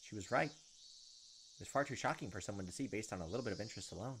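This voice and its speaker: A man's voice: lowish voice, very fast, a bit bright, a little hiss or hum. A man in his thirties reading visual novel narration aloud and commenting as he plays. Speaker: let's-player. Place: indoor room at a computer, on webcam. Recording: game music behind. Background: game music, insect chirps.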